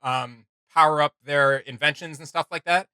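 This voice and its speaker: An enthusiastic young man co-hosting a reaction video, talking casually with plenty of fillers. His voice is bright and sits slightly high for a man. The recording is close and dry. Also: fairly even intonation, moderate pace, clean tone, no room sound. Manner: chatty and enthusiastic, with frequent fillers and laughs.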